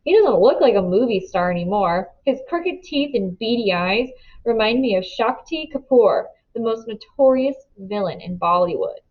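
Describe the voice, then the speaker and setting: Woman doing expressive kids book read aloud, medium pitch, slightly muffled lo-fi recording. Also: moderate pace. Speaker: storybook reader. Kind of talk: expressive children's audiobook narration.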